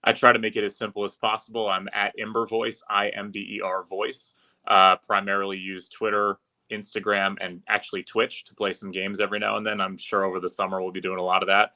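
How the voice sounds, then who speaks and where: A man's voice: on the low side, level tone, fairly quick, sharp tone. A man who works as an announcer, chatting informally and fluently as a podcast guest. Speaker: podcast guest, arena public address announcer. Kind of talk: casual interview chat.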